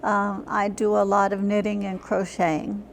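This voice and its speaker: An older woman giving plain, matter-of-fact conversational answers as an interview guest, sometimes halting. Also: mid-range voice, completely flat delivery, gravelly, slight background noise. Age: older, senior. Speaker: interview guest, senior center member.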